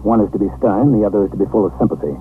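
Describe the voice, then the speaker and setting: Casting: actor for level-headed American male police captain, measured and factual, vintage radio crime drama with band-limited, faintly noisy sound. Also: fairly low pitch, natural voice. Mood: matter-of-fact. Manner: measured, matter-of-fact. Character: calm, reasonable male police captain, sympathetic and methodical. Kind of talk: 1950s radio police drama, scripted narration and dialogue. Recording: noisy, tinny 1950s radio recording.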